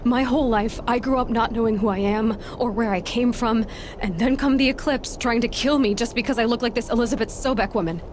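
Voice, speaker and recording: A woman's voice: on the high side, fairly quick, close to an everyday voice. A woman playing a sarcastic young huntress. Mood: frustrated. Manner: weary, sarcastic. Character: determined young huntress, sharp-tongued. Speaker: game protagonist. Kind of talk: video game cutscene acting. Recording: game audio with clear background noise.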